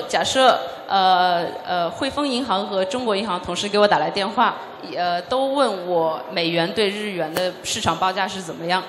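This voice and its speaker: A woman doing consecutive interpreting at a conference, measured and pausing with frequent fillers. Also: mid-range voice, slight background noise. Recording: echoey hall with faint ambience.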